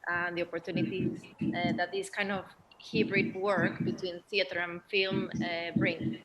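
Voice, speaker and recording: A woman's voice: lowish voice, little pitch movement, unhurried, lo-fi sound, crowd noise. A woman moderating a discussion and interpreting answers for the audience. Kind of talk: relaying answers in a Q&A.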